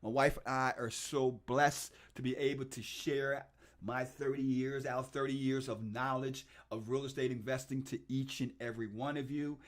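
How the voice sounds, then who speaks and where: A middle-aged man hosting a livestream for his real estate investing channel, shouting out viewers by name and talking through his video playlists with upbeat enthusiasm. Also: medium pitch, fairly even intonation, normal speed. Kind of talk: casual livestream shout-outs and channel tour.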